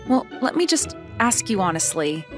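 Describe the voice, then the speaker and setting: A woman's voice: medium pitch, sing-song, moderate pace, soft background music, close to an everyday voice. A woman playing a haughty, blunt teenage schoolgirl in a manga dub, speaking in a demanding, self-assured way. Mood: accusing. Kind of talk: manga dub character dialogue.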